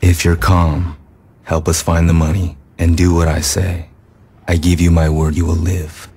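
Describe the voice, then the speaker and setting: Casting: controlled gangland enforcer, deep and even, gravelly, quietly threatening. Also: male voice, slight background noise. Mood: calm threat. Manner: measured, controlled. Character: calm, menacing gangland enforcer, adult man. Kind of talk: video game cutscene acting.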